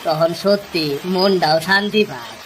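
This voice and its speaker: A woman's voice: lowish voice, animated, moderate pace, a little hiss or hum, close to an everyday voice. A woman voicing a weary, humble, hardworking fisherman in a cartoon, earnest and grateful, with an eastern Bengali rural dialect. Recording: loud music behind the voice.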